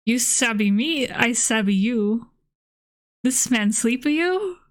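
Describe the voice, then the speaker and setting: A gruff foreign harpooner in a storybook reading, acted out by a woman. He speaks slowly in halting, broken phrases, with a blunt, menacing manner. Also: a little high, animated. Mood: gruff, agreeable. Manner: halting, grunting broken speech.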